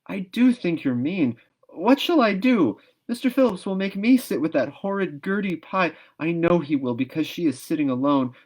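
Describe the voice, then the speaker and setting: A man's voice: on the high side, lively intonation, normal speed, a little mellow, pitched above the performer's own voice. A man voicing a young schoolgirl best friend in a storybook, mournful, tearful and coaxing. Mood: tearful pleading.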